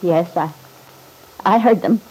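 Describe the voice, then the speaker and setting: A woman's voice: on the low side, slow pace, mellow, husky, rough recording. An actress playing a nervous, loyal old household servant in an old-time radio drama, hesitant and deferential as she stammers. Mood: reluctant, uneasy. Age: older.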